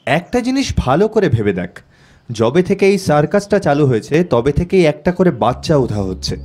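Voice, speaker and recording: A man's voice: fairly high pitch, sing-song, normal speed, a little mellow. A man playing a shrewd, confident young amateur detective in an audio story. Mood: thoughtful, confident. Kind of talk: Bengali audio story acting.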